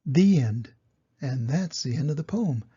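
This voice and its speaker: An older man reading a storybook to his grandchildren in an affectionate, expressive way, with a husky, creaky voice. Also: on the high side, measured pace, dull audio. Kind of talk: storybook read-aloud with personal introduction.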